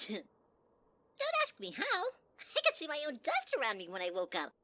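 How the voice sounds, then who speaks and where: Woman doing a sickly sweet evil flower guy, teasing, super high and sharp, voice jumps all over. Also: wildly swooping pitch, moderate pace, dry recording, heavily acted. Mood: sugary. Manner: syrupy, teasing, swings high and low. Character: sweet-talking, sinister male flower. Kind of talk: fan audio drama.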